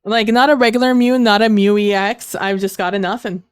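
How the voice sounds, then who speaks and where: A woman streamer talks casually through a headset microphone, rambling along with plenty of fillers. Her voice is smooth and resonant, though she is losing it, and the sound is dry and close. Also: mid-range voice, steady tone, brisk, dry recording. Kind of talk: casual gaming stream chat.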